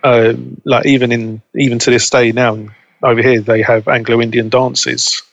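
A young man as an interview guest, chatting casually and relaxed, laughing easily. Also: medium pitch, normal speed, creaky, no room sound, low-quality recording. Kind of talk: casual conversation.

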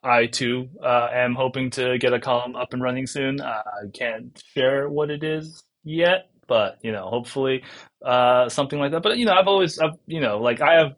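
A man's voice: medium pitch, moderate pace, a bit bright. A man from South Korea, a games writer guesting on a podcast, talking casually with many restarts and fillers.

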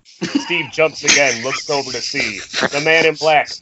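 A man's voice: on the high side, unhurried. A man narrating, reading out screenplay stage directions in a table read. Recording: muffled internet call, faint noise.

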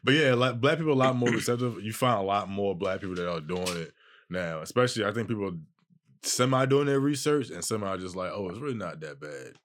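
A man's voice: lowish voice, quick pace. A man chatting casually as a podcast guest, with slangy, profane speech and an emphatic habit of repeating himself.

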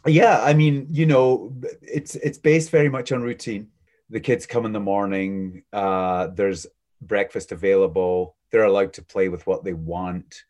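A man with a low, booming voice chats about his work as a preschool teacher. He is unhurried and reflective and often restarts his sentences mid-thought. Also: steady tone, a little mellow. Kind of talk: casual interview chat.

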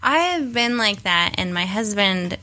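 A woman's voice: medium pitch, measured pace. A woman guest on a podcast, chatting casually and acting out the voices in her stories. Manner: animated storyteller, acts out quotes. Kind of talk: casual chat.

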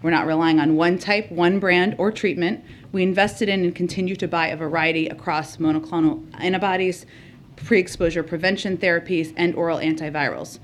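A woman answering a reporter at a press briefing, measured and explanatory. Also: lowish voice, steady tone, a little hiss or hum.

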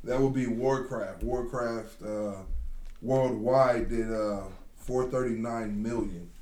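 A man's voice: mid-range voice, fairly even intonation, slow, a little mellow, far from the mic. A man co-hosting a podcast in slangy, profane casual chat, often repeating his words. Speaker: podcast co-host. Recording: roomy echo, off the mic.

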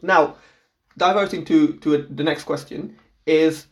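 A man's voice: a little high, fairly even intonation, unhurried. A young man from Portugal talks in an easygoing, chatty way. He laughs often and repeats his first words before he gets going. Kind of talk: casual chat.

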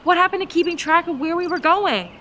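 A woman's voice: very high voice, brisk, a bit bright, a little hiss or hum. A woman playing a stubborn, petulant young girl, a little sister, in a dramatic audio drama style. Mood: annoyed, taunting. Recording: faint outdoor night ambience, crickets.

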